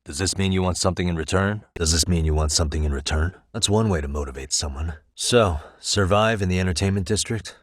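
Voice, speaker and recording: An actor playing a composed, determined male detective in a video game, speaking in a measured, probing way with dry wit. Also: low voice, dry recording. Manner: measured, probing, dryly wry questioning. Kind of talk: English video game voice acting.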